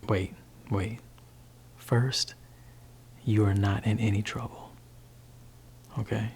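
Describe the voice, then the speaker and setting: A man voicing a gentle, laid-back father in an ASMR roleplay, talking softly and whispering to his teenage child in a teasing way. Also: flat delivery, extremely slow, long pauses, slight background noise. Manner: soft-spoken, shifting between low voice and whisper. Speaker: ASMR creator.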